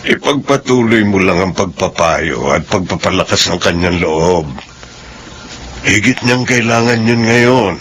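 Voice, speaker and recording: A middle-aged uncle in an old radio drama, speaking in a low, measured way as he gives counsel. A faint hiss sits under the broadcast. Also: lively intonation, fairly bright tone. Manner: measured, advising. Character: calm older uncle giving counsel. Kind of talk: classic radio drama acting.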